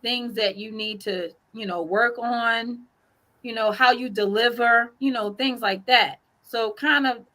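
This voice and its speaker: A woman's voice: fairly high pitch, measured pace, pushed and bright. A woman co-hosting a casual chat show, giving encouraging advice with plenty of "you know" fillers. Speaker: co-host.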